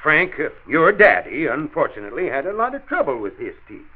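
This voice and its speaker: A man's voice: on the high side, sing-song, fairly quick, breathy edge, narrow, tinny audio. A man playing a stubborn but affectionate grandfather in a 1940s radio drama, folksy, blustery and mock-stern. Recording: band-limited old 1940s radio recording.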